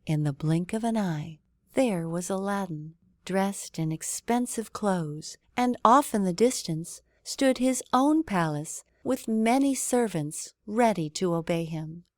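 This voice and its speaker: Slow-paced woman narrating a children's story. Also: medium pitch, expressive, no echo, studio quality.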